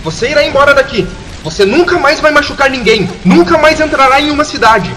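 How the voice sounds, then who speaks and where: A man with a Brazilian accent voicing a brave orphan boy hero in an audio fantasy tale, shouting defiant commands. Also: very high voice, lively intonation, fairly quick. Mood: defiant, commanding.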